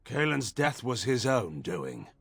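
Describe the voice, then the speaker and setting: An actor playing a proud, stubborn middle-aged general in a video game, commanding and imperious. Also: male voice, mid-range voice, sing-song, slow, creaky, slightly rough, dry recording, exaggerated voice. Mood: firm. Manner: forceful, imperious.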